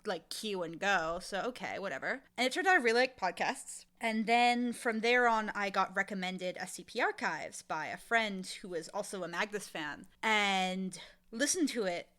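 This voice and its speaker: A woman in her twenties chatting casually as an interview guest, candid and animated, with self-deprecating storytelling. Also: medium pitch, normal speed. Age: 20s. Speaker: audio drama showrunner, interview guest.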